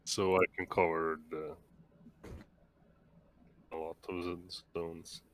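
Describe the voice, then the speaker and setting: A man's voice: low voice, flat delivery, takes it slowly, slight background noise. A man playing a tabletop game, talking casually about his moves over group voice chat.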